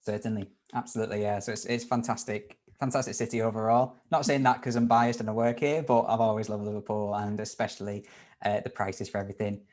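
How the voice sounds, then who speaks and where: A man's voice: on the low side, level tone, fast, a little mellow. A man hosting a university webinar Q&A on a video call, upbeat and informal with lots of fillers and restarts. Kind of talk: live webinar Q&A.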